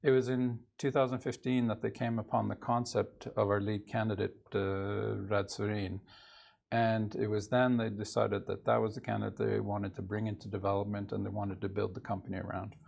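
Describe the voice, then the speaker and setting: Gravelly man with a Norwegian accent. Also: lowish voice, little pitch movement, moderate pace, darkish tone. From Canada. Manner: hesitant, many filler sounds. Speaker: company CEO. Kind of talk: conversational interview answers. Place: TV studio.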